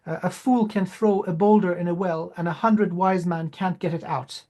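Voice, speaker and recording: A man whose first language is Farsi, speaking as an interview guest with many "uh" pauses and emphatic repetition. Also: sits high, steady tone, mellow. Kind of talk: spiritual interview talk.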